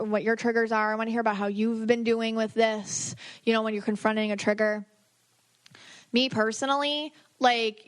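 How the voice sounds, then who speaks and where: A young woman hosting a podcast in a loose, chatty way, peppering her talk with "like" and "you know." Her voice is worn and hoarse. The recording is dry and close, with no room sound. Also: medium pitch, no room sound. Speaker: podcast host, aura reader. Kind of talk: casual podcast chat.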